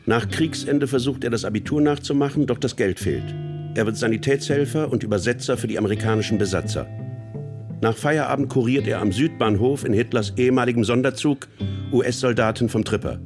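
An actor playing a matter-of-fact narrator, telling a crook's story in clipped phrases for a radio play. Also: male voice, mid-range voice, brisk, music in the background, lightly acted.

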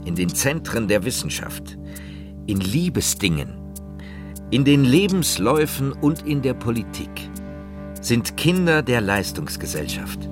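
An earnest radio-play narrator of a literary manifesto. He declaims in separate phrases with animated intonation. Plucked guitar music plays behind the voice. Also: mid-range voice, measured pace.

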